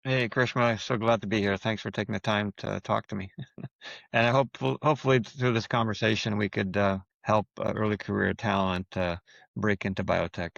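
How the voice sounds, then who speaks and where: A man's voice: on the low side, fairly even intonation, normal speed, creaky, a bit bright, dry recording, highs cut off. A man from Quebec whose first language is French, talking as a podcast guest in a reflective way, often restarting his sentences. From Quebec, Canada. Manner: thoughtful, with frequent restarts and fillers. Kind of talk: casual interview talk.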